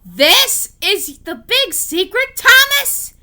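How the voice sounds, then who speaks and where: A woman voicing a boastful, sulky male steam engine in a storybook, with a loud, exclamatory manner. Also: very high voice, sing-song, slow, voice pushed up, full character voice. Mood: furious.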